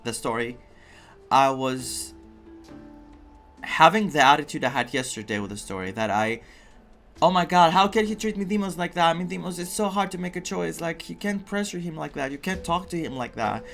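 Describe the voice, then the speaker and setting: A man's voice: a little high, expressive, normal speed, gravelly, fairly bright tone, faint music behind. A man giving casual, reflective reaction commentary as a game streamer, earnest and emphatic.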